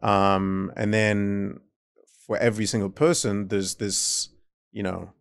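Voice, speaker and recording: A man's voice: fairly low pitch, level tone, slow, gravelly, smooth, no echo, clean studio sound. A man hosting a podcast, chatting casually, asking probing questions and often answering with short affirmations. He speaks with an accent. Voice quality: speaks with a non-native accent. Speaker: podcast host. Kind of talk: casual interview chat.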